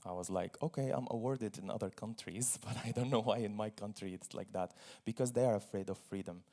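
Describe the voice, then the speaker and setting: A man's voice: fairly low pitch, brisk, dark tone. A man from Syria speaking on stage as a guest at a forum, telling his personal story earnestly, with small laughs and repeated phrases.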